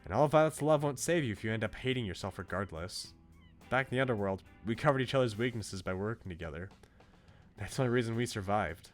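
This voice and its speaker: A man voicing a blunt, protective, teasing young woman coach in a visual novel, in a brisk, informal pep-talk manner. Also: lowish voice, animated, faint music behind, close to an everyday voice. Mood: stern.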